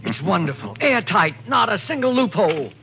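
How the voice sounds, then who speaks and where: An actor playing an eager, crafty schemer in a 1940s radio drama, speaking with emphatic delivery. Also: male voice, high-pitched, very animated, a little fast, creaky, phone-quality sound, slight background noise, exaggerated voice. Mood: excited, proud. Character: eager, crafty male schemer angling for time off. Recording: thin 1940s radio recording.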